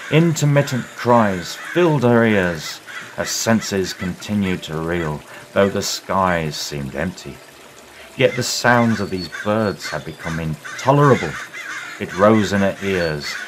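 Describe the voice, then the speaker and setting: A man's voice: low voice, noisy background. A man narrating a dramatic scripted horror story in a theatrical, suspenseful manner. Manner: theatrical, suspenseful delivery with swelling intensity. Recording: music behind the voice. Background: music.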